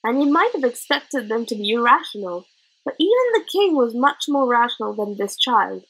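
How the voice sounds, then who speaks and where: A woman narrating a children's storybook in a gentle, expressive way. Her voice sits a little high, with a husky grain and lively rises and falls. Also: normal speed, poor audio. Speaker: audiobook reader.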